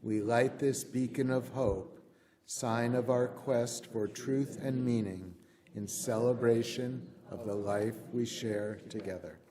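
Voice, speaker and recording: A man leading a congregational recitation in a measured, solemn way as a worship associate. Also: mid-range voice, fairly even intonation, crowd noise. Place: church sanctuary at pulpit. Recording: long reverberant church hall.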